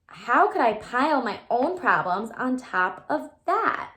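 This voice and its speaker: A woman reading a children's novel aloud like a teacher, with animated storytelling and asides to explain or wonder. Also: on the high side, unhurried. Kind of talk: expressive children's book read-aloud.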